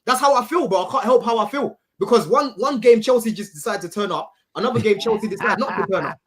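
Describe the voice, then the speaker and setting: A man's voice: sits high, quick pace, husky. A young man arguing about his football team on a fan podcast, insistent and repetitive, with London slang. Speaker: Chelsea fan panelist. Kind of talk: heated football fan debate.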